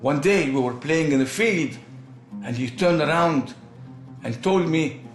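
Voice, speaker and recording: An older man from Egypt speaks reflectively in a middle-pitched voice. His words ring in an echoey room over soft guitar music. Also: a little slow. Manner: reflective, emphatic. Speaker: interviewee. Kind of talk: documentary interview testimony.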